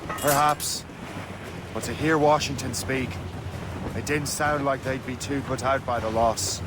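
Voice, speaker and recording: An actor playing a brash, sardonic young assassin with a wry, questioning manner in a video game. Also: male voice, medium pitch, steady tone, measured pace, very noisy, lightly acted. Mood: grudging, doubtful. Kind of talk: dramatic video game acting.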